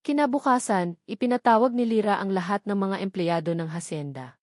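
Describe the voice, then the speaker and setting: A woman's voice: mid-range voice, brisk, no room sound, studio quality. A woman reading a scripted dramatic story in an earnest, sentimental way. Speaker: narrator of a story channel.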